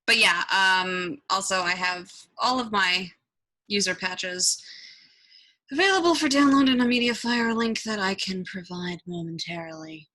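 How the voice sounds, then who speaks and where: A woman giving a casual, improvised software tutorial, thinking out loud and swearing offhandedly as she goes. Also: mid-range voice, a little slow, poor audio. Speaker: tutorial presenter.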